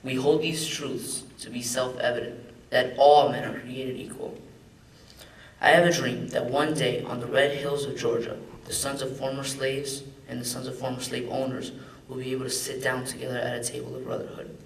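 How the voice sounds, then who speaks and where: A man's voice: mid-range voice, little pitch movement, normal speed, slight background noise. A young man, a student reader, giving a dramatic reading of a famous speech. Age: young adult. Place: large echoey room at a public event. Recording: echoey hall, far from the mic.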